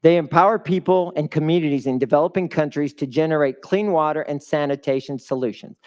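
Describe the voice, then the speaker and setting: A man's voice: fairly high pitch, fairly quick, darkish tone. A man giving a live motivational fundraiser speech as a guest speaker, emphatic and putting questions to the audience. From a developing country.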